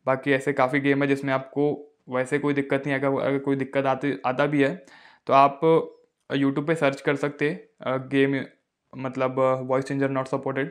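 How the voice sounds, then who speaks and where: A man's voice: medium pitch, completely flat delivery, measured pace. A man, narrating a casual phone tutorial as its host.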